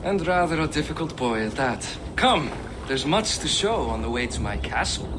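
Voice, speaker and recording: A man's voice: on the high side, very animated, moderate pace, noisy background. An actor playing a boisterous, proud middle-aged Viking king in a video game cinematic, hearty and boastful as he declaims. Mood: genial.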